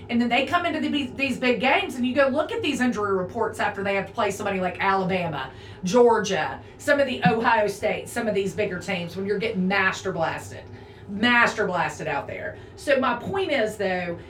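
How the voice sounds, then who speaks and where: A woman in her forties argues her point in long, emphatic runs during a casual sports chat. Her voice sits a little high. She sounds slightly off-mic in a roomy space with a faint hiss underneath. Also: a bit bright. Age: 40s. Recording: roomy echo, a bit far from the mic, faint noise.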